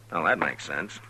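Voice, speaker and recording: An actor playing a gruff, wry, unhurried frontier marshal with a dry, sardonic delivery in an old radio western. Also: male voice, a bass voice, sharp tone, exaggerated voice, poor audio. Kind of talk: 1950s radio western acting. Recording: old radio broadcast, hum and hiss.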